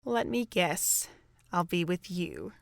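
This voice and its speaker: A woman's voice: lowish voice, takes it slowly, vocal fry. A young woman acting a guarded, easily frustrated young woman in a fan audio drama. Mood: uneasy.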